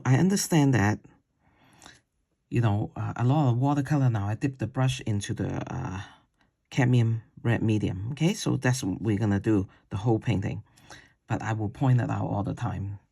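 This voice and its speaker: A man with a deep voice teaching a watercolor paint-along. He talks slowly while he paints and often checks in with "okay?". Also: gravelly, dark tone, slightly rough. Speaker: watercolor instructor. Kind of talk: paint-along tutorial demo.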